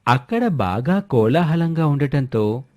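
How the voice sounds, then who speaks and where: Mellow male story narrator. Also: mid-range voice, sing-song, slow pace, dull audio. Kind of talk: scripted moral story narration.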